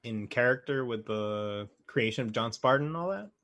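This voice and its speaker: A man's voice: medium pitch, animated, moderate pace, fairly bright tone. A man co-hosting a podcast, talking informally and hesitantly as he thinks aloud. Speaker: podcast co-host. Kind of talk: casual conversational chat.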